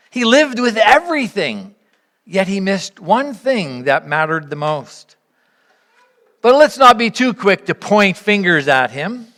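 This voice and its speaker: A woman speaking earnestly and in measured phrases as she leads a church service, pausing and posing reflective questions to the congregation. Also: on the low side, a bit bright. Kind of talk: sermon, reflecting on a parable and addressing the congregation.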